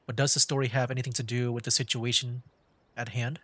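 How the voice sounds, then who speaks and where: A man's voice: medium pitch, level tone, fast talker, pushed and bright, dry recording. A man giving casual gaming commentary as a streamer, rambling and hesitant, close to the microphone. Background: faint game music.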